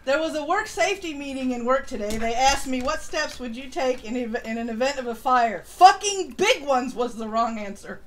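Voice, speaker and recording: High-voiced woman, irreverent and sweary. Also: animated, moderate pace, hardly any pauses, slight background noise. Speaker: co-host of a live stream show. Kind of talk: casual chat, reading articles and memes aloud.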